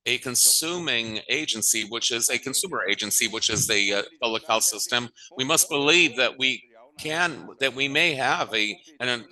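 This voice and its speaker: A man interpreting a conference panel talk, halting often and restating phrases as he goes. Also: medium pitch, sharp tone, rough recording.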